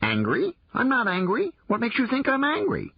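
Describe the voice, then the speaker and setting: A man voicing a small, cranky male forest creature who snaps and bickers in a high, pinched voice. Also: huge pitch swings, slightly rough, no room sound, muffled recording, heavily acted. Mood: irritable. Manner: irritable, snappish, quick to bicker. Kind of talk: audiobook character voice.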